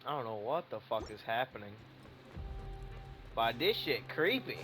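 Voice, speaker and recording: A man's voice: mid-range voice, very animated, a little slow, pushed and bright. A man giving casual gaming commentary, thinking aloud and muttering to himself with some swearing. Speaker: video game streamer. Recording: music behind the voice. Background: game music.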